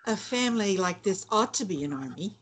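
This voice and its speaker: An actress playing a sharp-tongued, needling aunt of about fifty in a screenplay table read. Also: female voice, medium pitch, expressive, fairly quick, natural voice, low-quality recording. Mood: scolding.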